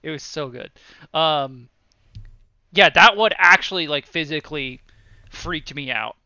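A man's voice: fairly high pitch, a little slow, pushed and bright, smooth, no echo. A man in his thirties co-hosting a podcast, rambling casually about films with many ums, self-deprecating asides and swearing. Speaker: podcast co-host. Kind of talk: casual movie review chat. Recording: dry, dull lo-fi sound.

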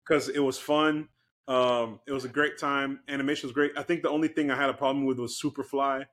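Male podcast co-host, mid-range voice, casual profane banter, quick to laugh. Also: medium pitch, steady tone, a bit bright. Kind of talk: casual movie-review banter.

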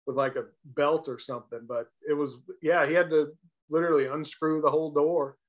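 Guy guest on an interview, chatting about old times, voice a bit high. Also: fairly even intonation, fairly quick, dull audio. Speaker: interview guest, former baseball player. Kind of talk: casual reminiscing chat.

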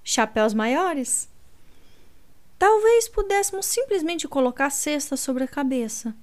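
A woman voicing a young man who grumbles dryly and drawls a fake fortune-teller accent. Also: expressive. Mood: dry, mumbling. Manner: low murmur, drawn-out, mock fortune-teller accent. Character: young man, dry and teasing companion.

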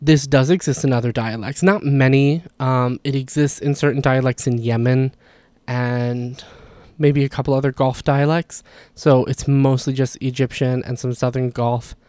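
Guy talking through grammar stuff, chatty, keeps apologizing for himself, mid-pitch, dry close mic. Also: steady tone, normal speed, a little mellow, no room sound. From Levantine, Palestinian or Jordanian Arabic background. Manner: chatty, self-deprecating, apologetic asides. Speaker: language educator. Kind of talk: casual explanatory monologue.

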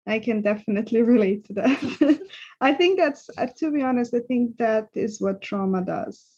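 A woman from Serbia hosting a podcast, speaking softly and asking reflective questions. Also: a little high, animated, moderate pace, breathy edge. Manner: soft, attentive, asks reflective questions. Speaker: podcast host. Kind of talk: casual interview chat. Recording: dull lo-fi sound.

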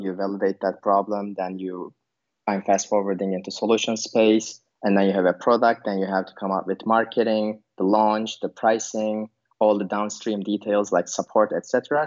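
A man's voice: fairly low pitch, darkish tone. A man from Turkey answering interview questions about his work, thinking aloud with many fillers and restarts. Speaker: podcast guest, product manager. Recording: dull lo-fi sound.

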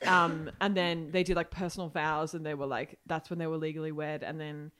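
A woman with an Australian accent talks casually as a podcast guest. Her voice sits a little low for a woman. She rambles, stacking up "like" and "um" as she explains. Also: level tone, fairly quick, a bit bright, clean tone. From Ireland. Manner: chatty, rambling, full of "like" fillers. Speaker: guest journalist.

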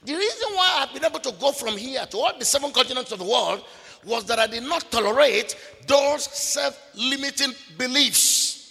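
A man preaching a sermon, emphatic and repetitive, at times breaking into shouts. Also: very high voice, animated, moderate pace, creaky. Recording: long reverb of a large hall.

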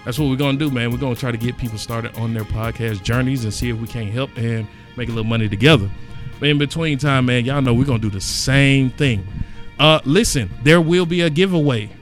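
A man hosts a casual sports podcast, talking in an animated, emphatic and colloquial way. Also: medium pitch, a little fast, faint music behind.

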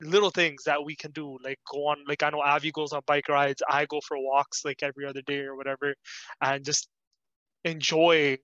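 A man's voice: fairly high pitch, flat delivery, moderate pace, pushed and bright, dry recording, highs cut off. A twenty-year-old man talking earnestly in a casual chat about student life. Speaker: student podcast co-host.

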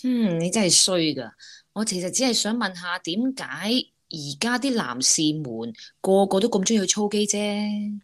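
A woman's voice: mid-range voice, animated, normal speed, lightly acted. A woman with a Hong Kong Cantonese accent playing a curious, amused young office worker in a radio drama.

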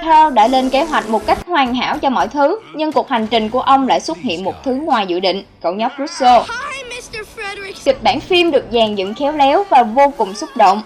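A woman's voice: high voice, animated, normal speed. A woman reading scripted narration as the host of a countdown video. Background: background music.

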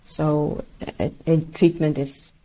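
A woman's voice: deep voice, little pitch movement, takes it slowly, warm tone, poor audio. A woman whose first language is Swedish, explaining medical research as an interview guest, hesitant and searching for words.

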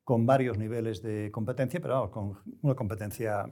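A middle-aged man who is a writer, talking reflectively in an interview, choosing his words with pauses and restarts. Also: on the low side, dark tone. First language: Spanish. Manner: measured, searching for words, frequent restarts. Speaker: novelist, interview guest.

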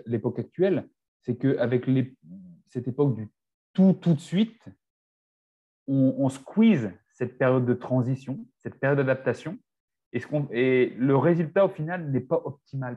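Halting man in his forties, mellow. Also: medium pitch, dull audio. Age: 40s. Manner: hesitant, many restarts and fillers. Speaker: fitness coach guest. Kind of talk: casual podcast conversation.

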